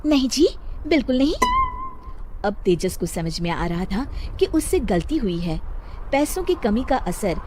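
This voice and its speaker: A woman's voice: medium pitch, sing-song, measured pace, mellow, soft background music. A woman narrating a scripted children's moral story as a storyteller.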